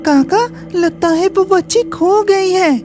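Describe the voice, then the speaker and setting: Casting: a woman as an innocent, curious village boy for a cartoon, very high voice, measured slow pace. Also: mellow, soft background music. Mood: innocent concern. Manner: innocent, questioning. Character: curious, kind-hearted young village boy. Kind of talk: animated horror story acting.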